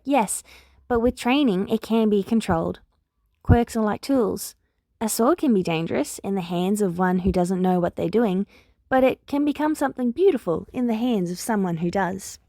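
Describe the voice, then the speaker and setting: A woman's voice: mid-range voice, darkish tone, dry recording, close to an everyday voice. A woman voicing an earnest, wounded young heroine from the future in a story, speaking firmly and a little wryly. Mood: firm, reassuring. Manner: prompt, firm, wry.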